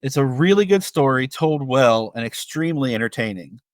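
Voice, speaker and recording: A man's voice: mid-range voice, expressive, normal speed, dry recording, clean studio sound. A man co-hosting a film review podcast, speaking in a casual, conversational style. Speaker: podcast co-host.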